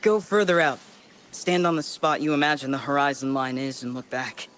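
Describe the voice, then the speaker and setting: A man's voice: mid-range voice, steady tone, moderate pace, a bit bright, a little hiss or hum, natural voice. A man playing a lonely, weary colonist survivor. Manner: weary, bitter, rambling confession. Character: lonely male colonist survivor recording a desperate log. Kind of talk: game audio log.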